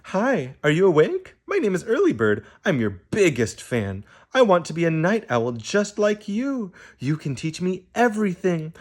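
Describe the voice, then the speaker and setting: A man's voice: sits high, huge pitch swings, a little mellow, pitched above the performer's own voice, full character voice. A man voicing an eager, chatty young female bird who asks question after question in a chirpy voice. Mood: excited. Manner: chirpy, rapid-fire questions.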